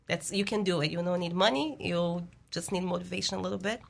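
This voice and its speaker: A woman's voice: on the low side, fast talker, vocal fry. Encouraging Brazilian-accented woman coach. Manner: encouraging, animated, small slips in English. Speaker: fitness coach guest. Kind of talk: interview answers, coaching advice.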